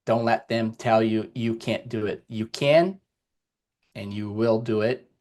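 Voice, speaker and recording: A man from Brazil, a native Portuguese speaker with a Brazilian accent, speaking as a podcast guest over a video call in a deliberate, encouraging way with many fillers. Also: fairly low pitch, fairly even intonation, a little mellow. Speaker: guest, security executive. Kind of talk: podcast interview. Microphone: remote video call.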